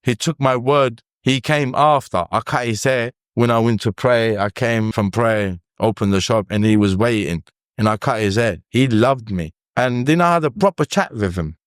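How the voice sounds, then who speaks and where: Pashto-speaking guy w cockney twang, earnest, repeats stuff, smooth clear voice. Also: mid-range voice, moderate pace, no room sound, clean studio sound. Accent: Cockney-tinged London English over Pashto. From Afghanistan. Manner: earnest, repeats himself, plain broken grammar. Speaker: barber and podcast guest. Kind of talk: casual interview storytelling.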